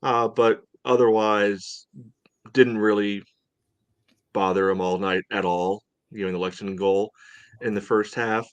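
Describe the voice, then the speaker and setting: A man co-hosting a podcast, talking through sports analysis casually in a measured, hedging way full of "you know". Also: on the low side, steady tone, clear, resonant tone, dry recording, dull audio. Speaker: podcast co-host.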